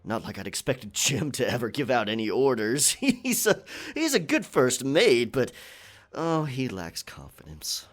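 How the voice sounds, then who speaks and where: A man playing a boisterous, rum-swigging pirate captain in a roleplay audio drama, with a gravelly voice, theatrical yet hesitant, muttering in pirate slang. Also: mid-range voice, big pitch swings, measured pace, soft background music. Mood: musing.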